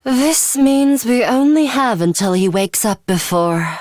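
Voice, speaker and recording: A young female battle commander from a video game. She speaks slowly in a fairly high voice, haughty and sadistic, commanding with disdain. The sound is dry and close. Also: expressive, a little mellow, no room sound. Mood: serious, measured.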